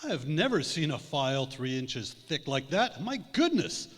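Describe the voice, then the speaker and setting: Man acting a high-voiced theatrical radio doctor. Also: very animated, moderate pace, a bit bright. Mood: earnest. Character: earnest doctor in an old-time radio medical spoof. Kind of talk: old-time radio stage acting. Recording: echoey hall, faint music behind.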